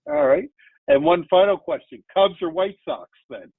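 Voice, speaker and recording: A man with a high, lively, gravelly voice hosts an interview over a video call and asks chatty questions in a friendly way. His sound is tinny and phone-like, with a very dry room. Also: moderate pace, no room sound. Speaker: interview host.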